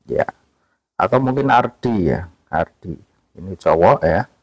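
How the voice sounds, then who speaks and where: A man hosting a casual app tutorial, talking in a relaxed way and tagging "ya" onto most phrases. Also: deep voice, a little slow, dry recording, rough recording. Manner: relaxed, repeats "ya" and fillers often. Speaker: tutorial host.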